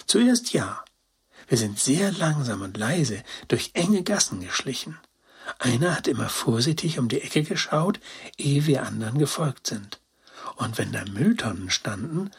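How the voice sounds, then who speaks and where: A man voicing a young, earnest female dog who tells a story in a childlike, excited way, as in a children's storybook. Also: mid-range voice, a little slow, husky, full character voice. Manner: childlike, excited storytelling with naive colloquial words. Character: Gretine, young female toy-rescuing dog, earnest and compassionate.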